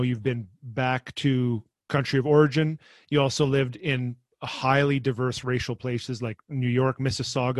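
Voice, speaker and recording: A man's voice: mid-range voice, no room sound. A man hosting a podcast, asking a guest a long, easygoing question with some restarts. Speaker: podcast host. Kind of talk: interviewing guests.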